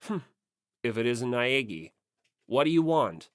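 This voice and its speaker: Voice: medium pitch, sing-song, unhurried, dry recording, pitched below the performer's own voice. An audiobook reader voicing a haughty, imperious teenage heir, curt and condescending. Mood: cool, dismissive.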